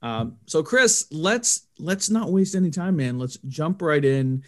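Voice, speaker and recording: Relaxed male podcast host. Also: on the high side, unhurried. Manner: relaxed, friendly, fillers. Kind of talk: casual podcast interview.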